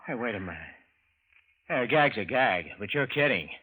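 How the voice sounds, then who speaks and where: An actor playing a folksy, puzzled American landlord in 1940s radio style, plain-spoken and hesitant. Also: male voice, mid-range voice, animated, moderate pace, bright, forceful tone, breathy edge. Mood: incredulous. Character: puzzled, folksy husband and landlord narrating his story. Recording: muffled vintage radio recording with music.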